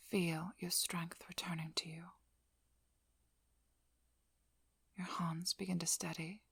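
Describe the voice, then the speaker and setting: A woman playing a composed, guarded female vampire hunter in an ASMR role-play, breathy and often whispering, with controlled, firm delivery. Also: lowish voice, fairly bright tone, dry recording, full character voice. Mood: calm, hypnotic. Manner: slow, soothing instructions, firm and controlled. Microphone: close ASMR microphone.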